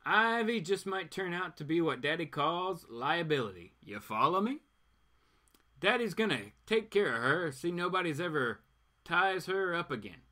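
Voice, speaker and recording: A man puts on a folksy comic-book villain. He is smug and taunting, menacing in a homespun way, with a bright voice and lively pitch. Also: moderate pace. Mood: smug menace. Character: folksy male villain calling himself Daddy, smugly menacing.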